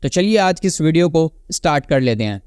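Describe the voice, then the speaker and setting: A man's voice: a little high, animated, brisk, a little mellow, smooth, no echo, studio quality. A man hosting a casual step-by-step tutorial, instructing and often checking in with the listener. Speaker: tutorial host.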